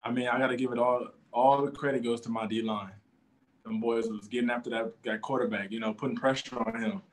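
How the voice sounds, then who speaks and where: A man's voice: mid-range voice, fairly even intonation, brisk, rough recording. A young man, a college defensive back, answering press questions in an easygoing way, often saying "you know" and "man". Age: young adult. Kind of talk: post-game press conference answers.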